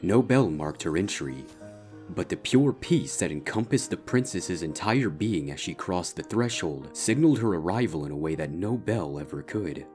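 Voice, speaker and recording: A man's voice: deep voice, animated, normal speed. A man reading a scripted story as its narrator. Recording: music bed behind the voice. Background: soft instrumental guitar music.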